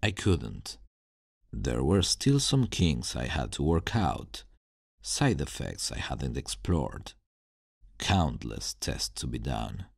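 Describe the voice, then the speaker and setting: A man playing an embittered scientist turned supervillain in a dramatic ASMR roleplay monologue, telling his story in a brooding, deliberate, theatrical way. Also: rumbling low, very animated, vocal fry, no room sound, studio quality, full character voice. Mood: grave, intense.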